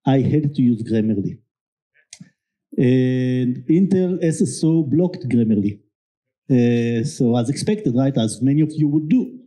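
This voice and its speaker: A middle-aged man with a thick Israeli accent, telling a candid, self-deprecating story on a panel stage with many pauses and fillers. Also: mid-range voice, animated, dark tone. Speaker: guest, security company executive. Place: event stage before an audience.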